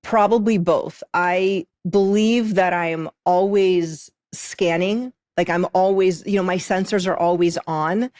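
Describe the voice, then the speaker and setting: A woman's voice: on the low side, fairly even intonation, unhurried, few pauses, darkish tone, no echo, studio quality. A woman from Moldova who moved to the US as a child, explaining her practice as a podcast guest in an emphatic way with check-in questions. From Moldova, moved to US at eight. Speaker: podcast guest, intuitive soul contract reader. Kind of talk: conversational explaining in an interview.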